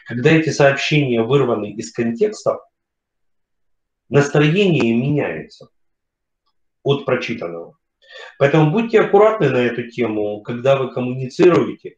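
Middle-aged male webinar speaker, halting casual delivery, webcam sound in an echoey home room. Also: mid-range voice. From Kharkiv, Ukraine. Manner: hesitant, many fillers and pauses. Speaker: webinar speaker, company CEO. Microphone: webcam on video call. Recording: roomy, dull video call audio.

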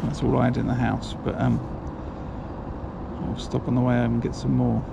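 A man's voice: medium pitch, normal speed, creaky. A man giving a casual running commentary to himself while fishing by a pond, recorded on a body-worn camera. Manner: relaxed, muttering to himself, calls out to a friend. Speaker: angler vlogger. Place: outdoors by a pond. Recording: outdoor recording with noticeable wind and ambient noise.

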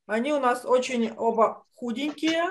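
A middle-aged woman teaching children drawing step by step over a video call, explaining patiently and haltingly. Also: a little high. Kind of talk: step-by-step online lesson instruction. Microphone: computer mic on video call.

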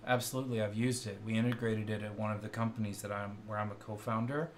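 A man's voice: lowish voice, steady tone, fast talker. A middle-aged man with a Kentucky accent co-hosting a casual tech chat over a video call, upbeat and chuckling often as he explains things. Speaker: co-host. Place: indoor room on a video call. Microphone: wired earbuds on a video call.

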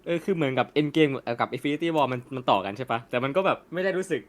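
A young man in a casual chat, blunt and animated, swearing freely as he rants. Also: a little high, fast. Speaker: co-host. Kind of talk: casual chat about a film.

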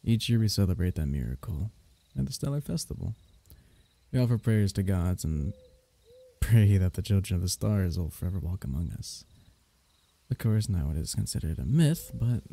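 A man voicing a gentle, loving royal diviner, telling a bedtime myth as ASMR in a tender, archaic, unhurried, husky way. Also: fairly low pitch, exaggerated voice. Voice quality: husky, gravelly. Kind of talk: ASMR bedtime story roleplay.

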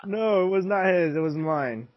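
A man's voice: high voice, lively intonation, normal speed, no room sound. Teasing young man on a dull mic. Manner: playful, teasing, laughs often. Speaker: gamer. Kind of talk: casual gaming chat.

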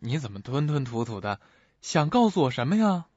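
A man's voice: mid-range voice, sing-song, brisk, darkish tone, dry recording. A man playing an authoritative, reassuring theater manager with a firm, official manner in a radio drama. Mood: prodding. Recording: dull, dry lo-fi audio.

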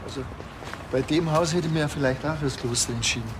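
An actor playing a dry, unbothered small-town policeman with a Bavarian accent, colloquial and deadpan. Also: fairly high pitch, a little fast, noisy background, lightly acted. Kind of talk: TV crime comedy acting.

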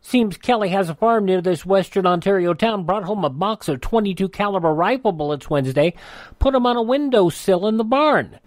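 Guy reading radio news off a script, slightly high voice, really dry sound. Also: lively intonation, fairly quick, no room sound.

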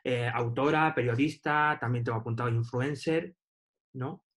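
A young man interviewing over a video call, asking questions in a hesitant, halting way with many fillers. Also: medium pitch, fairly even intonation, a bit bright. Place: dim indoor room. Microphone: video call webcam.

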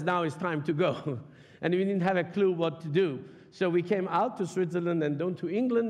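A middle-aged man from Iran whose first language is Persian, preaching a sermon in an impassioned, emphatic way and leaning hard on weighty words. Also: sits high. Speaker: guest preacher. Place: large church sanctuary stage. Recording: long reverb of a church hall.

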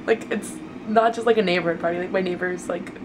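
A woman's voice: lowish voice, fairly even intonation, fast talker, noisy background. A woman in her twenties chatting casually and ramblingly to viewers as a vlogger, filmed at arm's length. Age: 20s. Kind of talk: casual vlog chat to viewers. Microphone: handheld camera at arm's length.

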